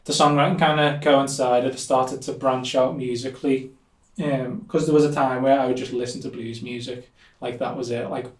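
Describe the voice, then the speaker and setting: Flat-toned Liverpool man, roomy sound. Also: mid-range voice, moderate pace, hardly any pauses. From Liverpool, England. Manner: relaxed, rambling, many fillers like "um" and "like". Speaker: musician guest. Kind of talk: casual interview chat. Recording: echoey room, somewhat distant mic.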